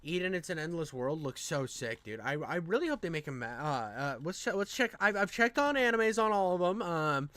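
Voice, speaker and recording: A man's voice: a little high, lively intonation, fairly quick, hardly any pauses, a bit bright, dry recording. A man giving casual, unscripted reaction commentary in a rambling, slangy, enthusiastic way, with some swearing. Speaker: reaction channel host.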